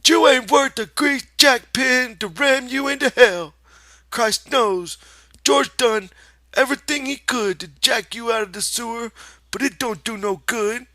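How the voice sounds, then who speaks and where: A man voicing a giant imaginary rabbit that sneers and scolds in a high, harsh voice. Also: slow pace, pushed and bright, no echo, full character voice. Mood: scornful. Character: giant scornful imaginary rabbit, male, taunting.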